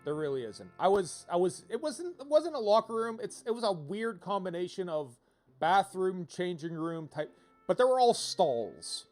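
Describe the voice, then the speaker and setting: Man, fairly high voice, casual livestream chatter, animated and digressive, game music behind. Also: moderate pace. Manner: animated, digressive, repeats himself for emphasis. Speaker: livestreamer playing a visual novel. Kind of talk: casual rambling game-stream commentary. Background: video game music.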